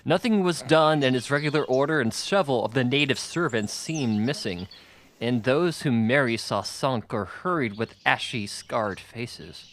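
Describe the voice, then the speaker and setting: A man narrates a storybook at a middling pitch, reading from a script as an audiobook reader. Noticeable noise sits in the recording behind him. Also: moderate pace, a bit bright.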